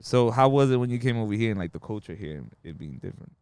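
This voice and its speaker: A man's voice: on the low side, lively intonation, fast talker, a little mellow, clear, resonant tone, no room sound. A man hosting a podcast interview, joking around and talking in loose slang. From Dominican family. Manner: joking, slangy, laughs a lot. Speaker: podcast host. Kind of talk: casual interview chat.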